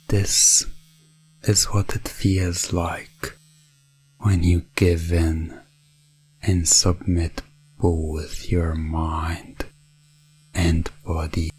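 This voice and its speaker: Very slow, low, breathy man. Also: flat delivery. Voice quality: breathy, hushed. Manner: commanding, measured, deliberate, often dropping into whispers. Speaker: audio roleplay performer playing a professional dominant. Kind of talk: hypnosis ASMR roleplay, slow guided monologue.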